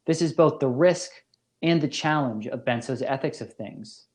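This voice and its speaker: A man delivering a scripted academic lecture as a professor, in a measured, deliberate and formal manner. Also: medium pitch, a little mellow.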